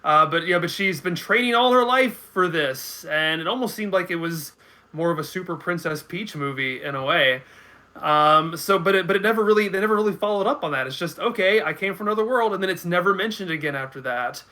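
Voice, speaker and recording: A man's voice: high voice, a little fast, bright, forceful tone. A man speaking as a guest commentator in a casual unscripted discussion, thinking aloud with hesitant starts.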